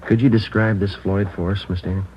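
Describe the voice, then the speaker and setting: A man's voice: lowish voice, fairly even intonation, fairly quick, noisy background, close to an everyday voice. An actor playing a terse male police sergeant who questions witnesses and narrates the case in a 1950s radio crime drama. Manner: clipped, matter-of-fact police questioning. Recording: dull old radio broadcast with music.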